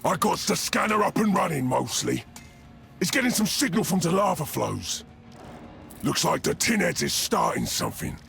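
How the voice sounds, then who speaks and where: Gravelly, bellowing ork brute. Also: male voice, fairly high pitch, wildly swooping pitch, moderate pace, heavily acted. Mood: muttering. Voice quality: gravelly, shouting. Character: brutish, hot-tempered ork flyboy boss, gleefully warlike. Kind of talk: video game character acting. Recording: loud game music behind the voice.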